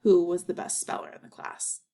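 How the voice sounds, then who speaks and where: A woman's voice: normal speed, mellow. A woman reading a children's story aloud as narration, with little asides to the listeners.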